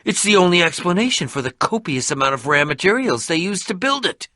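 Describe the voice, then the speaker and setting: A man voicing an excitable, eccentric and pompous adventurer-scholar for a video game, theatrical and grandiose, with dramatic pauses and sudden outbursts. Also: high voice, dry recording, studio quality, full character voice. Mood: intrigued, grandiose. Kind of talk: animated video game character acting.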